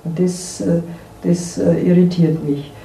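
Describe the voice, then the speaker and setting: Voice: mid-range voice, steady tone, measured pace, vocal fry, dark tone. A middle-aged or older speaker making hesitant, spontaneous personal remarks. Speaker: member of the wartime generation giving opinions. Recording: distant echoey room, faint noise.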